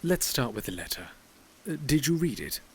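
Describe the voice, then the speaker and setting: An actor playing a prim, mysterious business partner in an audio drama, speaking formally and politely, with measured evasiveness. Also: male voice, medium pitch, big pitch swings, slightly rough, exaggerated voice. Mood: formal, guarded.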